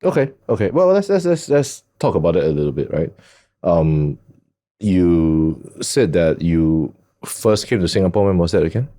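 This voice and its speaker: A middle-aged man hosting a podcast who chuckles often and teases his guests, with drawn-out fillers. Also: deep voice, very animated, mellow. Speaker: podcast host.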